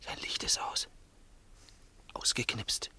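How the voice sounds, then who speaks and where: An actor playing a nervous, jittery accomplice to a murder in a German radio crime drama, anxious, hushed and urgent. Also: male voice, slow pace, whispered. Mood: jumpy. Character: nervous, jittery male accomplice sent to kill.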